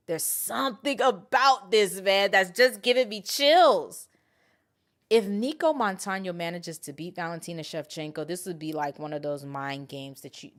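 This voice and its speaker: Emphatic woman giving casual opinionated commentary. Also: on the low side. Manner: animated retelling, acting out quotes; emphatic, repeats points for emphasis. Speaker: host of an MMA commentary channel.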